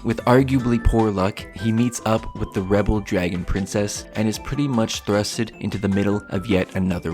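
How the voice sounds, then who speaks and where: Low-pitched man reviewing over music. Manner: wry, self-deprecating joking, crude humour. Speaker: host of a visual novel review show. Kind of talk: scripted video review narration.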